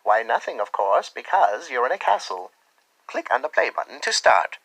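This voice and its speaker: A man's voice: medium pitch, very animated, normal speed, noisy background. A man giving scripted, theatrical storytelling narration and instructions for a children's game.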